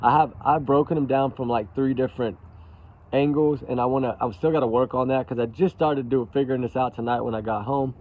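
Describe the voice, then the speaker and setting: A man's voice: medium pitch, fairly even intonation, fairly quick. Dull lo-fi male livestream commentator. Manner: chatty, addresses viewers as y'all. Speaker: online true-crime commentator and host. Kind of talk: casual live commentary, reacting to played clips.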